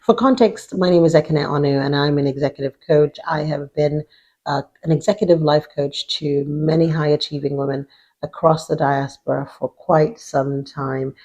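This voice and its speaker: Husky middle-aged woman coach. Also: low-pitched, fairly even intonation, moderate pace, mellow. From Nigeria. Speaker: executive life coach. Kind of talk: motivational advice talk to camera.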